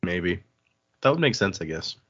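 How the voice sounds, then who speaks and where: A man's voice: low-pitched, very animated, moderate pace, a bit bright, slightly rough, dull audio. A man hosting a podcast, casually recapping a book in an informal way. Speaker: podcast host.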